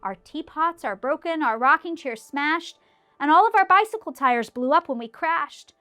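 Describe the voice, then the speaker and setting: A woman's voice: high voice, expressive, moderate pace, pushed and bright, voice pushed up. Woman doing a tiny, piping mayor. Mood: distressed. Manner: eager, grateful, piping. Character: tiny cheerful male mayor of a small town.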